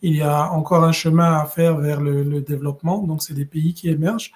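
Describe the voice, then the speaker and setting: A middle-aged man from West Africa, a podcast guest, telling his story in a measured, thoughtful way with many pauses and restarts. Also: on the high side, steady tone. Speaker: guest, regional director. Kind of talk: conversational podcast interview.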